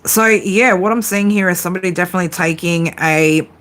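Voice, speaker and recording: A woman reading tarot cards live for her viewers. Her voice sits a little low, and she talks in a relaxed, chatty way, swearing casually as she goes. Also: fairly quick. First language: English. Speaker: tarot reader.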